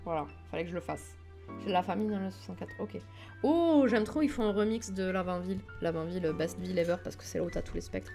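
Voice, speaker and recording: A woman's voice: fairly low pitch, lively intonation, fast, vocal fry, mellow, faint music behind. A young woman from Toulouse with a southern French accent, chatting casually and enthusiastically with her stream viewers, with plenty of fillers. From southern France, Toulouse. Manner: chatty, enthusiastic, many fillers, talks to chat. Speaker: livestream host.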